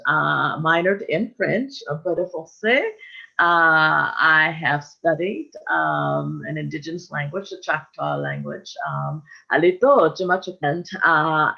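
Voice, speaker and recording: A woman speaks on a video call in a husky, gravelly voice with a bright, tense edge. She hesitates often, filling pauses with uh. The call audio sounds dull and lo-fi. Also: low-pitched. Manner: hesitant, lots of uhs. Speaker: panelist.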